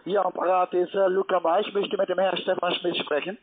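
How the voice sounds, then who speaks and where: A man's voice: high voice, flat delivery, a little fast. A man, working as a call center agent on a telephone call. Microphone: phone call. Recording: tinny narrowband phone line.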